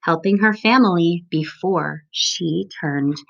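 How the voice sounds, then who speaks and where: A woman reading a children's picture book aloud, with expressive, emphatic phrasing for young listeners. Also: fairly low pitch, slow pace, creaky. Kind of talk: children's storybook read-aloud. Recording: dull lo-fi recording.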